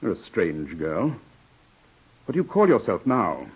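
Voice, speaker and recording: An actor playing a composed, authoritative gentleman in a 1940s radio drama, husky-voiced, measured and questioning. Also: deep voice, huge pitch swings, heavily acted. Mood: grave, controlled. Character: composed, commanding English gentleman, brisk and probing. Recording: muffled vintage radio recording.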